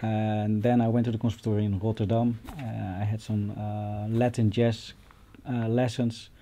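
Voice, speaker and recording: A man's voice: lowish voice, flat delivery, normal speed, mellow, a little hiss or hum. A man in his forties with a Dutch accent, a guest musician answering casually in an interview. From Netherlands, born in Colombia. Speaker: guest pianist. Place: indoor music studio with stage setup.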